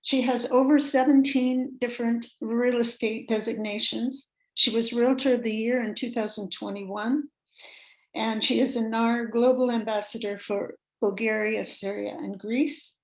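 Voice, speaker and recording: Female host, a bit high, friendly intro read from an office. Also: steady tone, a little slow, rough recording. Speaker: event introducer, realtor. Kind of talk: formal introduction.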